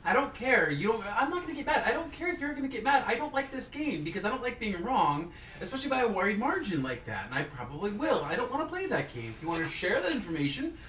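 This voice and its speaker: A woman's voice: on the high side, sing-song, fast talker, fairly bright tone. Rambling woman in an echoey room. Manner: rambling, restarts sentences, chuckles. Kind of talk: casual chat. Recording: roomy echo, distant mic, faint hiss.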